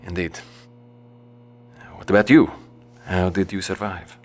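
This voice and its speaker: An actor playing a gentle, devout father and wary survivor in an audio drama, speaking in a measured, formal, polite way. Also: low-pitched, steady tone. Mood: calm, polite.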